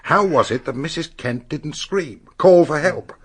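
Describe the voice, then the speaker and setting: A man's voice: on the high side, a little slow, breathy edge. A man playing a brusque, determined police investigator in a radio drama, blunt and businesslike.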